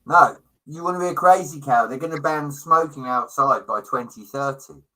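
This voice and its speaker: A man's voice: a little high, moderate pace, pushed and bright, low-quality recording. A middle-aged British man in casual livestream chat, talking in a chummy, opinionated way with slang and swearing. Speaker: livestream co-host.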